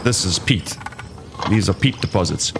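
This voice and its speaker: A man's voice: deep voice, animated, normal speed, vocal fry, heavy background noise. A man giving a reflective, descriptive first-hand account as an expedition leader. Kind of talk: first-hand documentary recollection.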